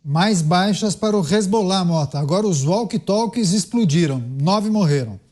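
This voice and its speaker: A man's voice: sits high, animated, moderate pace, a little mellow. A Brazilian man hosting a news show, reading reports and moderating a panel.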